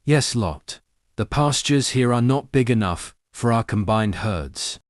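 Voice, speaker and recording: An actor playing a devout, patient patriarch in an audio Bible drama, speaking in a measured, solemn way. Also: male voice, medium pitch, expressive, no echo, clean studio sound, lightly acted. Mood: calm, decisive. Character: patient, devout patriarch, earnest and authoritative man.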